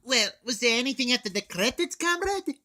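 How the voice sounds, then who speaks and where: Young man putting on a brash, bright soldier comrade. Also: very high voice, sing-song, a little fast, voice pushed up, exaggerated voice. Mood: smug teasing. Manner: needling, punctuates talk with "comrade" and "duh". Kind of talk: scripted comic character banter in a video review.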